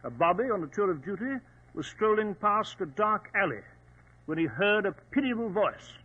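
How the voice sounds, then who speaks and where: An actor playing an earnest, protective English gentleman who tells his tale dramatically in a 1940s radio drama. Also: high-pitched, big pitch swings, normal speed, husky, heavily acted. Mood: grave storytelling. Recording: dull old radio recording.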